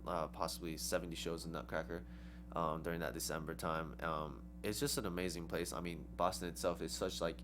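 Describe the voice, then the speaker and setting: A woman's voice: very deep voice, fairly even intonation, brisk, noisy background. A young woman dancer answering interview questions in a rambling way, full of "like" and "um", with giggly laughs. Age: young adult.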